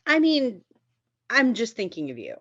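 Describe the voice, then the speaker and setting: A woman's voice: fairly high pitch, huge pitch swings, measured pace, no room sound, highs cut off, pitched above the performer's own voice, exaggerated voice. A woman playing a smug, evasive boyfriend in a comedy table read, patronizing and falsely considerate.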